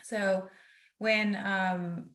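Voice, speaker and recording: A young adult woman from northeast Iran speaks at a medium pitch as a guest author over a video call. She is earnest and grateful, and her words come in quick bursts. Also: fairly even intonation, a bit bright. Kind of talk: guest introducing herself and her book.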